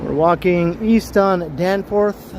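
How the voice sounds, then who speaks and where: Chatty deep-voiced man outdoors. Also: takes it slowly, darkish tone. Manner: chatty, greets viewers as he walks. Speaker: livestream host. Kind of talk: casual walking livestream chat. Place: outdoors on a busy city street. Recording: faint street and traffic noise.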